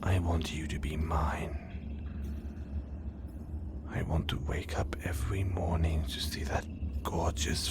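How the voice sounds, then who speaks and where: A man playing a gravelly whispering supervillain. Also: sits low, exaggerated voice. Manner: intimate, theatrical, teasing. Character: possessive obsessive male supervillain, theatrical. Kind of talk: whispered audio roleplay.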